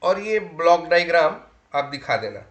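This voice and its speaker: A man's voice: fairly high pitch, sing-song, moderate pace, fairly bright tone. A man giving a step-by-step lab demonstration as a physics teacher, instructing and pointing things out as he goes. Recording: echoey room, noisy with hum.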